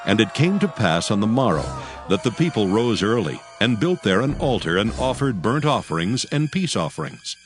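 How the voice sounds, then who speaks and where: A man reading scripture as the narrator of a dramatized audio Bible, solemn and measured. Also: on the low side, sing-song. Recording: prominent background music. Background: music.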